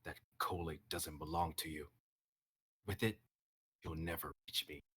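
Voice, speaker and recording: A grim masked ninja hero, acted out by a young man, husky and intense. He speaks in terse, vengeful bursts with a forceful edge, as in an amateur story reading. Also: rumbling low, fairly even intonation, measured pace, pitched below the performer's own voice, heavily acted. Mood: cold, threatening.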